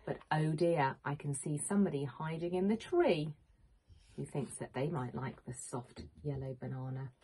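A woman, a teacher reading a picture book to young children in an expressive, questioning manner. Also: low-pitched, poor audio. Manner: expressive, questioning, clearly addressing small children. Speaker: primary school teacher. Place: small home room with bookshelves, indoors.

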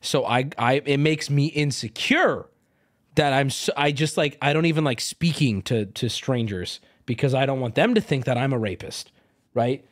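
A man streaming and reacting to a video, with crude, excitable joking commentary. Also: medium pitch, moderate pace. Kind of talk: casual live-stream commentary.

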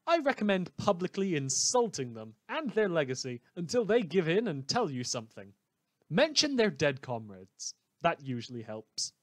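A man playing an unhinged, sardonic radio host who delivers halting, wordy monologues in a theatrical manner. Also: medium pitch, fairly bright tone, dry recording. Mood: manic, gleeful. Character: rambling, unhinged male radio host in a superhero world. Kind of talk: satirical radio news monologue.

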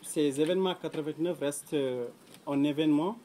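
A young man from Mauritania speaking at a round table in a small room, earnest and measured, pausing often with fillers as he thinks. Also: a little high, warm tone, a little hiss or hum. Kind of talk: public talk at a round table. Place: small indoor room with pale walls.

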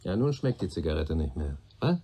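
An actor playing a shrewd, patient police investigator in a German radio play, probing and deliberate, with a dry sardonic authority. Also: male voice, rumbling low, sing-song, mellow, full character voice. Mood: measured, knowing. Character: shrewd, patient male police detective questioning a young man.

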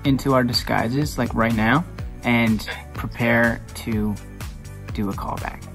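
A man's voice: medium pitch, steady tone, takes it slowly, creaky, a bit bright, soft background music. A young man talking in a scheming, conspiratorial way over a video call.

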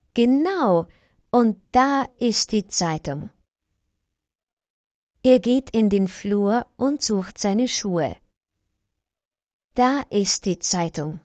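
A woman reading a simple story aloud slowly and clearly for language learners. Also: medium pitch, a little mellow, dry recording. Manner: slow, clear, evenly paced reading. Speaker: narrator.

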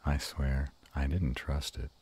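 Guy doing a gruff but soft-hearted dragon boyfriend, super deep n mellow, slow teasing murmur, romance roleplay audio. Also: steady tone, heavily acted. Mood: bashful, happy. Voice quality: very deep and husky. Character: affectionate, bashful, gruff male were-dragon lover.

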